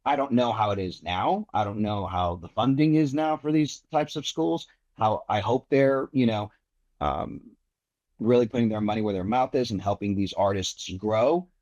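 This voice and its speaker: A man's voice: fairly low pitch, moderate pace, a little mellow, highs cut off. A young man from Miami, an actor giving earnest interview answers with many fillers and restarts. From Miami, United States.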